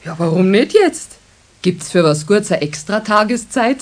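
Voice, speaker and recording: Casting: actress as friendly Bavarian female customer, medium pitch, very animated, chatty and gently pushy, radio play. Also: normal speed, close to an everyday voice. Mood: cheerful, coaxing. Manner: chatty, insistent. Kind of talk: children's radio play acting.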